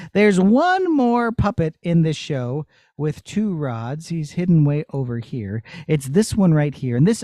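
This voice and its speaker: A man working as a puppeteer, giving an animated, simply worded demonstration for children. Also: fairly high pitch, dry recording, clean studio sound. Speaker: puppeteer teaching a class.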